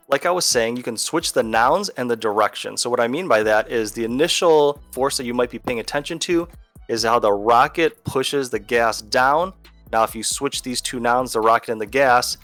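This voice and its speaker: A man narrates an educational video as a teacher. His voice sits at a middle pitch and is recorded close and dry, with faint music behind it. He explains things conversationally, one step at a time. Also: fairly bright tone, no echo. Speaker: physics teacher.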